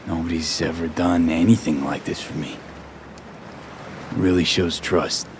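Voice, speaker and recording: A man's voice: sits low, fairly even intonation, unhurried, background noise. An actor playing a blunt, guarded young male mercenary in a cyberpunk video game, with terse streetwise phrasing. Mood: earnest, subdued.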